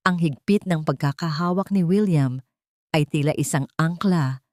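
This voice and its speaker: Low-voiced woman narrating a romance story. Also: normal speed, a little mellow, no room sound, clean studio sound. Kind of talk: scripted dramatic story narration.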